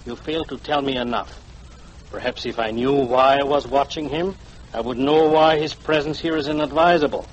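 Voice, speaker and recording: A man's voice: mid-range voice, steady tone, moderate pace, lo-fi sound, close to an everyday voice. An actor playing an unruffled, formal, suave hired watcher, a man in a 1940s radio drama. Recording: noisy 1940s radio broadcast recording.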